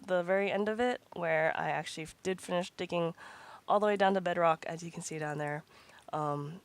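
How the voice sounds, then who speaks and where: Congested low-voiced woman gaming host. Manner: rambling, with frequent ums and restarts. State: congested, recovering from a cold. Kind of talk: casual gameplay commentary.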